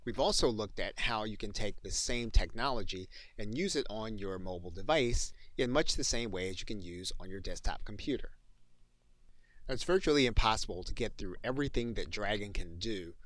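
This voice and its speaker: A man with a fairly low voice narrates a tutorial in the manner of a course instructor. His phrasing is measured and explanatory. The recording is very dry, with no room sound. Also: animated, a bit bright, dry recording.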